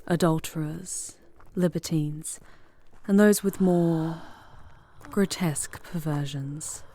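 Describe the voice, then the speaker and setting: A seductive demon temptress, acted by a woman in an ASMR roleplay, speaking in a low, mellow voice. Her delivery is very slow, theatrical and breathy, often slipping into a whisper close to the microphone. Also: a little hiss or hum. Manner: theatrical, formal, drawn-out phrasing. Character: charming, seductive female demon guide through hell. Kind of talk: intimate ASMR roleplay, scripted monologue.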